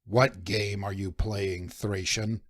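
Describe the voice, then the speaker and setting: A man voicing a burly, wary sailor first mate with a gruff, snarling manner for an audiobook. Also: fairly low pitch, steady tone, slow, a bit bright, no room sound, clean studio sound, voice pushed down. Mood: snarling suspicion. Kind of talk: audiobook character voice.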